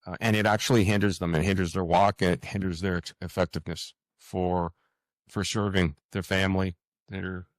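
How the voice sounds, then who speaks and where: A man answering as a guest author in a conversational interview, earnest and halting as he searches for words. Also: sits low, level tone, no room sound.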